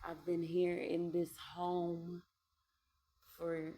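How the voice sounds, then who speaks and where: A woman in her late twenties talking through her thoughts in a loose, rambling way at home, repeating phrases and laughing softly between ideas. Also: on the low side, completely flat delivery, very slow. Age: 20s. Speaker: vlogger. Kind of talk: reflective casual monologue. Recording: echoey room with faint ambience.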